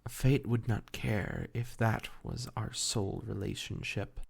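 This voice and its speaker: A man acts out an age-old fey spirit bound as a familiar in a fantasy audio roleplay. The voice sits fairly low with a heavy creak. The phrasing is courtly and measured, full of tender devotion. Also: very animated, exaggerated voice. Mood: thoughtful. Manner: formal, archaic, measured diction. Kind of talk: intimate roleplay audio acting.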